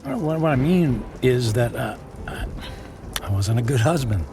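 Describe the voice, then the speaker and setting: A man's voice: medium pitch, expressive, moderate pace, lightly acted. An actor playing a humbled, middle-aged ex-husband in a radio drama, apologetic and pleading, stammering as he goes. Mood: regretful. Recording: music bed and rain behind.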